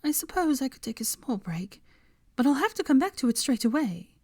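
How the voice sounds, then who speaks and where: An overworked young woman in a storybook, voiced by a woman reader. Her voice is pitched high and swings about, insistent and fretful, with a stubborn streak under the tiredness. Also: sing-song, fairly quick, darkish tone, slightly rough, full character voice. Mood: tired, yielding.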